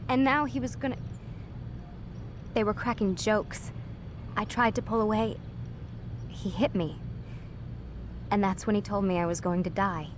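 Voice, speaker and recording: A woman's voice: mid-range voice, steady tone, measured pace, long pauses, background noise, close to an everyday voice. Actress as earnest, weary young woman scientist. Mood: troubled, confiding. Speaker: video game character, scientist. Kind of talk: naturalistic game dialogue.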